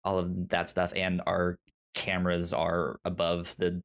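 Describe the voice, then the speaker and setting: A man co-hosting a podcast in casual movie chat, talking haltingly with stammered repeats. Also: sits low, completely flat delivery, vocal fry. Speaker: podcast co-host.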